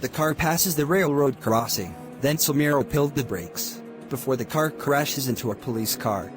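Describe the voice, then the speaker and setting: A man's voice: medium pitch, moderate pace, music in the background, lightly acted. A synthetic male voice playing a formal news announcer reading an emergency bulletin in a cartoon.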